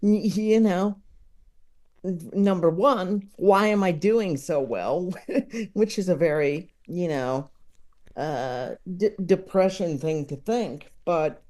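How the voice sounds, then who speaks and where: A podcast guest talking casually and reflectively, in a halting, thoughtful way, repeating words while searching for the right phrasing. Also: mid-range voice, darkish tone. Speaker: podcast guest, comedy enthusiast. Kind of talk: casual reflective interview talk.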